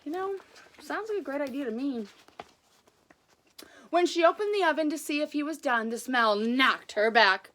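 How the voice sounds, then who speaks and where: A woman reading aloud as a storyteller, casual and chatty, with an enthusiastic, expressive delivery. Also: sits high, moderate pace, pauses often.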